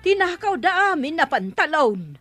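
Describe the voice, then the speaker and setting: A woman's voice: high voice, big pitch swings, moderate pace, a bit bright, no room sound, faint music behind. A woman with an Ilocano accent playing a complaining village laundrywoman in a comic radio drama. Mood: fretful.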